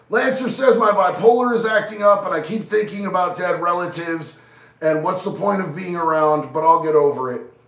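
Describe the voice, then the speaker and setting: A man's voice: high-pitched, fairly bright tone, echoey room, far from the mic. A man in his thirties with a stuffy, nasal head cold, hosting a casual livestream and reading out viewers' chat in a laid-back, slangy way. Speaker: livestream host. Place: small indoor studio with neon lights.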